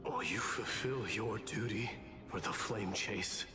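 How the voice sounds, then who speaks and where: Slow, solemn warrior lord. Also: male voice, fairly low pitch, over music. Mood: solemn, resolute. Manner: formal, measured, grave. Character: solemn, resolute undying warrior lord, grave and stoic. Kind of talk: dramatic video game voice acting.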